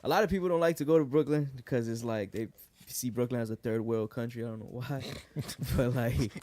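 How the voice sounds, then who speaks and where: A young man in his twenties from New York, a guest rapper chatting casually in a podcast interview, relaxed and loose with lots of fillers. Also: medium pitch, fairly quick, no room sound. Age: 20s. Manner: relaxed, lots of likes and restarts. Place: living room podcast set, indoors. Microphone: boom studio mic.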